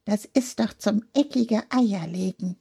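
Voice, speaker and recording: A woman's voice: mid-range voice, measured pace, creaky, warm tone, breathy edge, pitched above the performer's own voice. A woman doing a grumpy, sarcastic hen. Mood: grumbling. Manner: sarcastic, grumbling. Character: grumpy sarcastic hen, young adult female.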